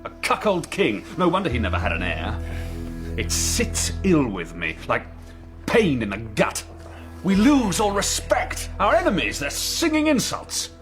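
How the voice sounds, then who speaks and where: Fiery, swooping warrior over music. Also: male voice, a little high, wildly swooping pitch, unhurried. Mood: angry, rousing. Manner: blunt, angry, scornful. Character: hot-headed, scornful male warrior, hungry for battle. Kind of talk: radio drama acting.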